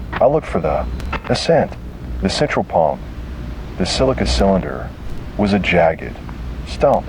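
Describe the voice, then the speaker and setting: A man reading a scripted horror narration in a grave, halting, dramatic way with heavy pauses. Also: deep voice, background noise.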